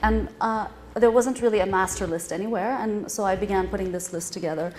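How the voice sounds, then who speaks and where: A middle-aged woman, a literary translator whose first language is Persian, talks to a live audience at a reading event. She explains her work in a thoughtful, steady way and pauses often on fillers. Her pitch sits in a middle range, with a faint hiss of noise under the recording. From born in London, grew up partly in Iran. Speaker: literary translator giving a talk.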